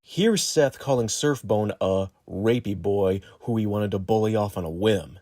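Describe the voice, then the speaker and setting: Low synthetic male narrator voice, dry. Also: a little slow, dark tone, dry recording. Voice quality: synthetic robot voice. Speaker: video narrator. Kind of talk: scripted narration, accusatory exposé.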